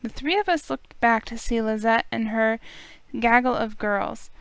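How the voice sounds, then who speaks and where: A woman hosting a game playthrough, reading the story aloud as expressive narration. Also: a little high, a little slow, clean tone, no echo.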